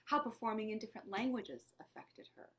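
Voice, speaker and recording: A woman from Lebanon whose first language is Armenian talks as an interview guest. She speaks in a reflective, searching way, often voicing questions to herself, in a mid-pitched voice. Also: big pitch swings, fairly quick, a bit bright, slight background noise. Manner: thoughtful, searching, asks herself questions aloud. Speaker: actor and playwright guest. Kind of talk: conversational interview.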